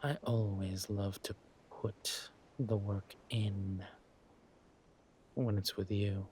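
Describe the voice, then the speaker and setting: A man playing a flirtatious, smug villain king in a roleplay audio drama, talking to his spouse in a husky, teasing, languid way. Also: fairly low pitch, fairly even intonation, a little mellow, slight background noise. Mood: grudging, flirtatious. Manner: teasing, languid, amused, drawn-out phrasing with murmured asides.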